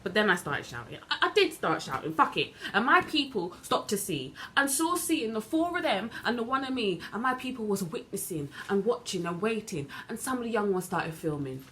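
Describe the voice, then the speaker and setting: A woman performing a dramatic monologue as a bitter, wronged young woman recounting events in a deliberate, halting way with emphatic repetition. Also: low-pitched. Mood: angry, indignant. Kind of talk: dramatic stage monologue, self-taped. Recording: noticeable background noise.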